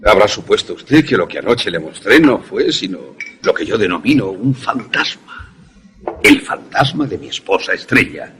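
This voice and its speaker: An actor playing a courteous, eloquent older professor and inventor in a suspense radio play, speaking formally and theatrically with small hesitant repetitions. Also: male voice, lowish voice, lively intonation, normal speed, a bit bright. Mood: courteous, explanatory. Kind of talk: Spanish-language suspense radio drama acting.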